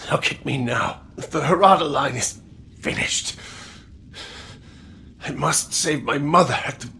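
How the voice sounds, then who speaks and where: A man playing a weary, dutiful wounded samurai retainer in a video game, his voice gravelly and strained. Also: a little high, bright, forceful tone, a little hiss or hum, exaggerated voice. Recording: music over the voice.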